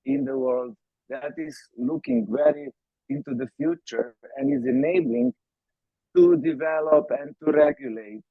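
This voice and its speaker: A man's voice: on the high side, creaky, dry recording. A man from Slovenia answering podcast interview questions, with many fillers and restarts. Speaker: startup founder, guest. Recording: tinny phone-like call audio.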